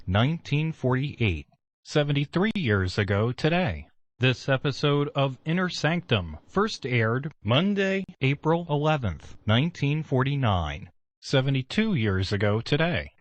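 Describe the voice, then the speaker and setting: A man announcing for a radio station, reading air dates in a clear, lively way. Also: medium pitch, unhurried, dry recording.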